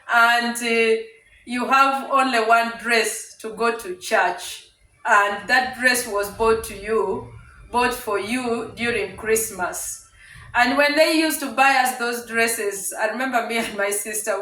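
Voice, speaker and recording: A middle-aged woman from Uganda telling her life story on her vlog, speaking slowly with many pauses and fillers while cooking in her home kitchen. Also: fairly high pitch, pushed and bright. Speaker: vlogger. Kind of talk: casual personal storytelling. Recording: echoey kitchen, voice from a distance.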